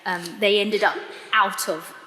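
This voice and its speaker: A woman's voice: medium pitch, steady tone, measured pace, pushed and bright. A woman with a British accent telling her personal story as a guest in a live interview. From United Kingdom. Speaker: interview guest giving testimony. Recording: echoey hall, clear background noise.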